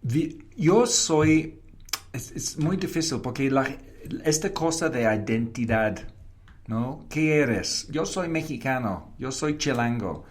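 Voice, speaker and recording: A man from Canada, a native English speaker with a foreign accent in Spanish, answering interview questions haltingly and slipping in English words. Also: mid-range voice, animated. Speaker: interview guest, advertising creative and professor.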